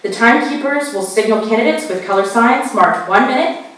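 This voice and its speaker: A woman moderating a candidate forum, reading the ground rules carefully and slowly spelling out web addresses. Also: on the high side, a bit bright. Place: college meeting room. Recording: echoey hall, voice from far off.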